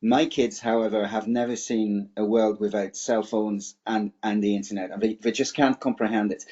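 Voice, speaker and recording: A man's voice: fairly low pitch, fairly even intonation, moderate pace, dark tone, highs cut off. A middle-aged man from France with a French accent, giving a webinar presentation in a hesitant way, with many fillers and restarts.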